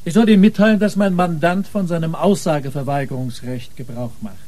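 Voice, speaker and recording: An actor playing a formal, politely obstructive defence lawyer in a radio crime drama. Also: male voice, a little high, brisk, dark tone, close to an everyday voice. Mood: cool, objecting. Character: smooth-talking, formal male defence lawyer.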